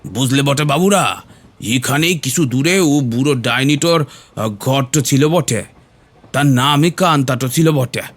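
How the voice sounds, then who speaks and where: A man's voice: mid-range voice, unhurried, close to an everyday voice. A man playing a talkative, superstitious village uncle with a rural Bengali accent and folksy, hesitant phrasing in an audio horror story. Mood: explanatory. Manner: folksy, repeats verbal tags, hesitant fillers.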